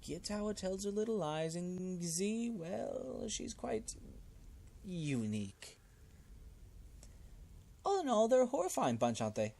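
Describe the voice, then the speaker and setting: A man's voice: high-pitched, sing-song, measured pace, gravelly, pauses often, mellow, a little hiss or hum, pitched above the performer's own voice, heavily acted. A man voicing a fussy, genteel old cannibal cult leader in a game, with a polite, wordy, fluttery manner. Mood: fretful, genteel.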